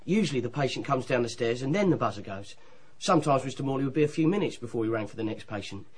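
A man's voice: medium pitch, expressive, quick pace, darkish tone, lightly acted. An actor playing a naive young page boy, eager and colloquial, in a radio drama. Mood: matter-of-fact.

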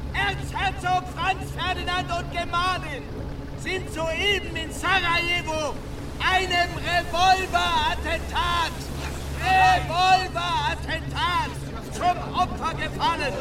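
A deferential naval admiral calls out in a radio drama. His voice is pushed very high and he speaks slowly, repeating phrases, at a distance from the microphone. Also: little pitch movement, background noise. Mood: urgent, grave. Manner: halting, repeating, loudly calling out, deferential. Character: naval cabinet admiral shouting urgent news across water.

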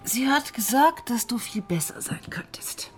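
A woman's voice: on the high side, expressive, measured pace, whispered, soft background music. An actress playing an older widow, a stern but loving adoptive mother, dry and firm in a naturalistic radio drama. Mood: firm, tender.

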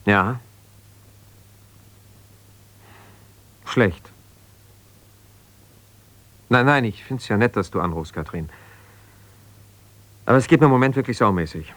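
An actor playing an ambitious, self-justifying lawyer in a German radio drama, speaking haltingly and conversationally as if on the phone. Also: male voice, on the low side, animated, fairly bright tone. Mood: downcast. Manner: conversational, halting, with repeated phrases and pauses.

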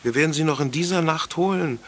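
An actor playing a grumbling, scheming crook of a mechanic in a children's radio play, gruff and conspiratorial. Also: male voice, a little high, fast talker, noisy background, close to an everyday voice.

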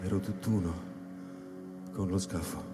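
A male actor playing a solitary astronaut who recounts his space voyage in a measured, solemn dramatic narration. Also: deep voice. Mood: grave, tense. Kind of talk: literary sci-fi dramatic narration. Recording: long hall reverb over live electronic music. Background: electronic music.

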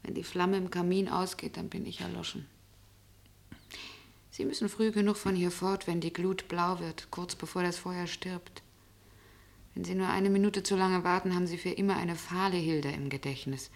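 An actress playing a melancholy, resigned middle-aged waitress in a radio drama, speaking in a wistful, poetic way. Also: female voice, fairly low pitch, steady tone, moderate pace, creaky. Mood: wistful, ominous. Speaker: actor in a radio play. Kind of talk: German radio drama acting.

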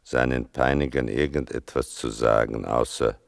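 A man playing a grave war veteran narrating his memories in a radio drama, deliberate and measured, with a gravelly voice. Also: extremely low pitch, steady tone, no room sound, clean studio sound. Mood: sombre, reflective. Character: grave male war veteran recalling his mother and wartime.

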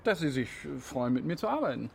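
A man's voice: a little high, sing-song, fast, creaky, darkish tone, slight background noise. An actor playing an eager, informal, friendly man in a naturalistic radio play, chatty and slightly bumbling.

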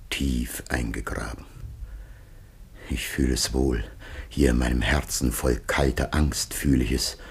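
An actor playing a dying old patriarch and father in a classic German radio drama, declaiming solemnly and weightily. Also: exaggerated voice. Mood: anguished, solemn. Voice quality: very deep, husky.

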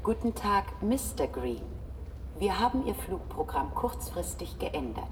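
An actress playing a polite, unyielding travel service machine in a German science-fiction radio drama, formal and formulaic. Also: female voice, on the low side, sing-song, a little slow, far from the mic, background noise. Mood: courteous, unyielding. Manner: formal, formulaic, repeats set phrases.

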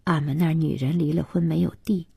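Audiobook role: plain-spoken village woman acted by a female reader, quietly resolute, soft-toned and husky. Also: deep voice, steady tone, fairly quick, no room sound, exaggerated voice. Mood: subdued, frank. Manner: rustic, frank, subdued. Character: Xiaoxia, plain-spoken divorced rural mother, subdued and resolute.

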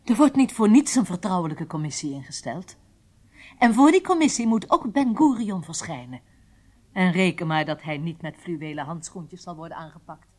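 A concerned woman colleague in a radio play. Her voice is on the low side, and she talks conversationally, questioning and pushing back with persistence. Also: animated, normal speed, darkish tone. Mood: insistent. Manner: conversational, argumentative. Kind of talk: radio drama acting.

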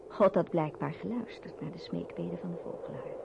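A woman's voice: lowish voice, fairly quick, creaky. A woman reading scripted narration for a radio play. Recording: muffled noisy old radio recording.

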